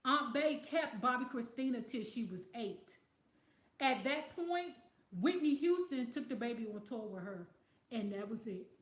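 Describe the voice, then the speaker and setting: A woman's voice: fairly high pitch, animated, unhurried, roomy sound. A woman hosting a casual livestream, talking bluntly with plenty of profanity and losing her voice. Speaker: livestream host reading viewer comments.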